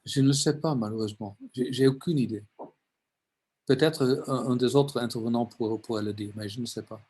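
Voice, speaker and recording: A man who is not a native French speaker, answering prospective students' questions earnestly in an online session, a little halting. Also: medium pitch, fairly even intonation, a little mellow, breathy edge. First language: neither French nor Italian. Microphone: video call.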